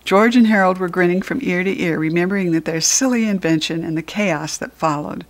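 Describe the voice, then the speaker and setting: An American woman reading a children's book aloud as a storyteller, expressive and with deliberate emphasis for young listeners. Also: fairly low pitch. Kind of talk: animated children's audiobook narration.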